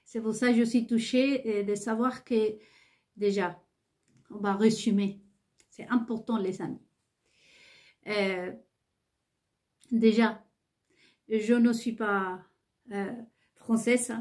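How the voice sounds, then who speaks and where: A woman who is not a native French speaker, talking to her viewers in a halting, rambling monologue full of hesitations and restarts. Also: mid-range voice, steady tone, a little mellow. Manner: insistent, repeats herself. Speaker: vlogger.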